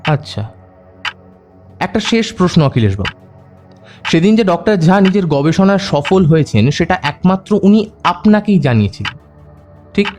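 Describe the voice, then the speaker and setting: An actor playing a composed, polite but insistent private detective in an audio drama, speaking in a measured way. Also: male voice, sits high, darkish tone. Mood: cool, suspicious. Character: composed, probing male private detective questioning suspects. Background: background music.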